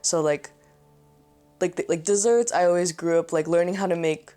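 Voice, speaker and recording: Low-voiced young woman, chatty. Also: normal speed, dark tone, soft background music. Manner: chatty, lots of filler words. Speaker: interviewee. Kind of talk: casual documentary interview.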